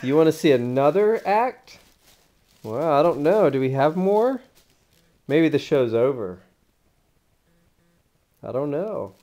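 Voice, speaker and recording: A man's voice: a little high, sing-song, slow, pauses often, warm tone, muffled recording. A man, a father, chatting casually with children in a playful, encouraging way and prompting them with questions. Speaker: father watching his child's puppet show. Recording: amateur home video sound.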